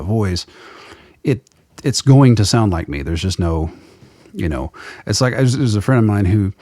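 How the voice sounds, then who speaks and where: A middle-aged musician with a naturally low voice rambles through his thoughts, chuckling as he goes. He sounds close and dry. His talk is casual and loose, full of asides. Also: a little mellow, no room sound. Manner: rambling, digressive storyteller with frequent laughs. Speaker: musician guest. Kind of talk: casual conversation.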